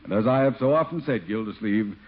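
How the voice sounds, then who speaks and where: Pompous, gloating, sneering neighbor. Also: male voice, lowish voice, lively intonation. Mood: smug, sarcastic. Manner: pompous, sarcastic, drawn-out relish. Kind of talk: 1940s radio comedy acting. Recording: muffled old-time radio recording.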